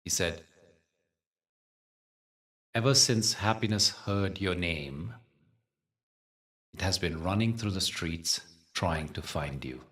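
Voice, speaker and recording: Very deep-voiced man guiding meditation, slowly. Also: animated, fairly bright tone, distant mic. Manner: soothing, unhurried, measured with long pauses. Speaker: meditation teacher. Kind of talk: guided meditation.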